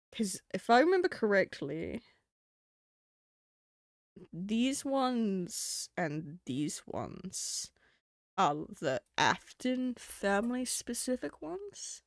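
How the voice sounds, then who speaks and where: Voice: medium pitch, big pitch swings, takes it slowly, long pauses, no room sound, studio quality. A streamer with a New Zealand accent, chatting casually on a live stream, rambling and swearing. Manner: rambling and swearing, with frequent laughs.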